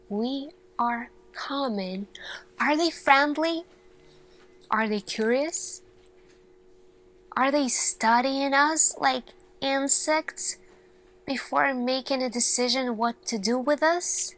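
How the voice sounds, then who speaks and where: A woman acts out an earnest lead scientist in a sci-fi ASMR roleplay. She has a fairly high female voice and speaks very slowly and softly. Her delivery is deliberate and thoughtful, pausing around each question she puts to her team. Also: heavily acted, rough recording. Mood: grave, wondering.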